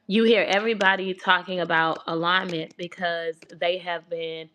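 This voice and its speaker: A woman with a soft, childlike baby voice chatting casually to viewers on a livestream she hosts. She rambles, repeats herself and talks about her faith. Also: lowish voice, steady tone, normal speed, slight background noise. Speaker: host of a relocation livestream. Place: home abroad in Kenya. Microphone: phone livestream.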